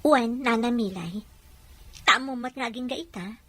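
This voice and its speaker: A woman playing a kindly married woman taking in a baby, expressive and questioning, in an Ilocano audio drama. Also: fairly high pitch, measured pace, husky, exaggerated voice. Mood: warm, assuring.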